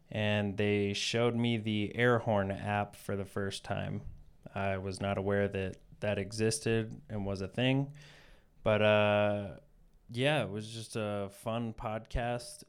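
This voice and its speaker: A man hosting a podcast, chatting casually with frequent fillers in a relaxed way. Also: fairly low pitch. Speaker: podcast host. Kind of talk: casual interview chat.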